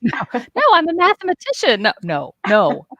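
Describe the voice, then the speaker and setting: A woman's voice: a little high, wildly swooping pitch, normal speed, fairly bright tone, no room sound. A middle-aged woman answering interview questions as an author, casual, wry and self-deprecating, repeating words for emphasis. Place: dim indoor room at home, video call.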